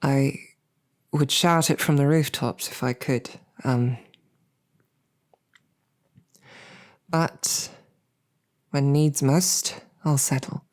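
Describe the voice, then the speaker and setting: An actress playing a smitten lowborn royal guard in an audio roleplay, with a halting, self-deprecating, earnest way of speaking. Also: female voice, fairly even intonation. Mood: adoring, earnest. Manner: halting, self-deprecating, colloquial tag questions. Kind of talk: scripted audio roleplay, halting heartfelt banquet speech.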